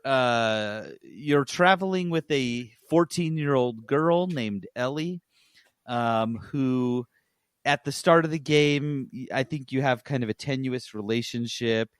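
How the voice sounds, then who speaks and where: A man's voice: medium pitch, smooth, no room sound, clean studio sound. A man co-hosting a podcast, giving a casual, rambling review and often correcting himself as he goes. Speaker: podcast co-host reviewing games.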